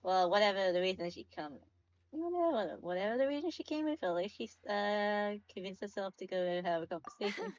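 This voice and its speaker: A woman's voice: medium pitch, animated, fairly quick, no room sound. Casual woman streamer, dry dull mic. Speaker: livestreamer. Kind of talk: casual gaming reactions. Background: faint game music.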